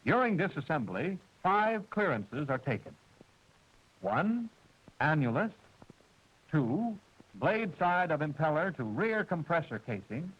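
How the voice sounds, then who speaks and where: A man's fairly high voice narrates a wartime instructional film with precise, formal diction and a lively, rising and falling delivery. His tone is husky, and the old soundtrack is dull and muffled with a bit of music beneath it. Every step is explained in a measured, steady manner.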